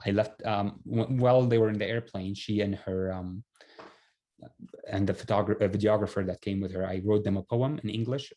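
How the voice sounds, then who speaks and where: A man's voice: fairly low pitch, a little mellow. A young man from Syria, telling his personal story in a casual, conversational, self-effacing way. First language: Arabic.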